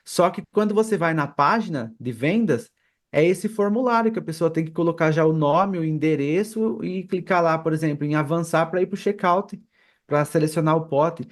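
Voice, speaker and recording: A Brazilian man with a slightly high voice co-hosts a podcast. He talks casually, posing questions and walking through his points in a conversational way. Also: normal speed. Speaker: podcast co-host. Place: remote video call.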